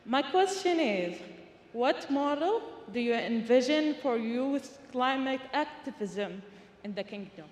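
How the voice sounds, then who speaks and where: A woman in her twenties puts a formal question to a panel from the audience. Her voice is higher and polite. It comes through an echoey hall with long reverb and faint ambience. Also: animated, takes it slowly, a little hiss or hum. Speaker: graduate student asking a question. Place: conference hall.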